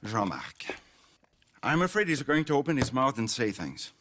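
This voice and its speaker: An actor playing a genteel, self-important middle-aged mayor in a video game, with a florid, theatrical, ingratiating manner. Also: male voice, medium pitch, sing-song, moderate pace, a bit bright, a little hiss or hum. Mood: evasive, apologetic. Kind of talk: video game cutscene acting.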